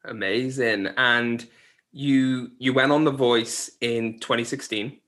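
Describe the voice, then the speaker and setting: An interviewer on a video call who asks questions haltingly, with fillers and restarts. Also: mid-range voice, fairly even intonation, fairly bright tone.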